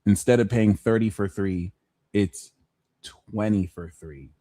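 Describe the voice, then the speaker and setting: A man's voice: lowish voice, takes it slowly, mellow, no echo. Slangy, joking male tarot host, dry close sound. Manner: playful, slangy, jokes between readings. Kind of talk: live pick-a-card tarot reading.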